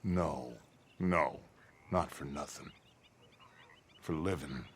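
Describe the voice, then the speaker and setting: An actor playing a grandiose American outlaw gang leader in a video game, with a husky, theatrical, commanding delivery. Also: male voice, very deep voice, takes it slowly, a little hiss or hum. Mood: earnest, persuasive. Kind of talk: dramatic video game acting.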